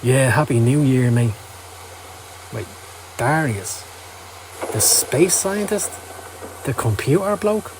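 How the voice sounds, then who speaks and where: A man's voice: mid-range voice, animated, slow, pauses often, noisy background. A man voicing a down-at-heel male occult hustler in a motion comic, slangy, profane and sardonic. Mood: brusque, sarcastic. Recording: music under the voice.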